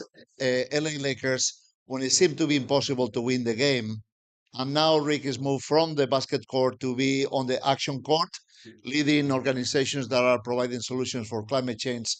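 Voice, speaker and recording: Man, mid-pitched voice, panel moderator, formal, measured, pauses often. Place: conference panel stage.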